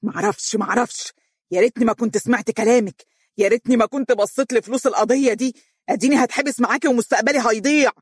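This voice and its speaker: A narrator voicing a panicked, ashamed young Egyptian man who shouts fast in despair. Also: sits high, husky, dry recording, studio quality, pitched above the performer's own voice. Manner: rapid, desperate shouting.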